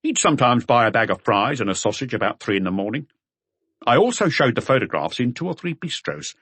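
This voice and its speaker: A man's voice: mid-range voice, very animated, brisk, a bit bright, breathy edge, no room sound, pitched above the performer's own voice. A man voicing a junior police detective in an audiobook, reporting details in a matter-of-fact way. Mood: dutiful reporting.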